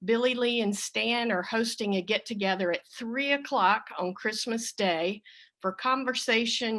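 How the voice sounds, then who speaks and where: A woman's voice: medium pitch, a bit bright. Woman giving church announcements. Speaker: church service leader making announcements. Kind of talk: informal spoken announcements to a congregation.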